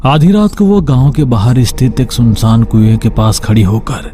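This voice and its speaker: A man's voice: medium pitch, sing-song, moderate pace, mellow, a little hiss or hum. A man reading scripted story narration for a cartoon tale.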